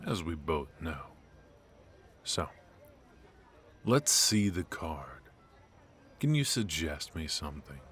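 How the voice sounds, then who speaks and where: A male voice actor plays a ruthless, suave mafia boss in an intimate noir roleplay. His voice sits very low and he takes his time, leaving pauses between short phrases. He is smooth-talking, teasing and menacing. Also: a bit bright, a little hiss or hum, exaggerated voice.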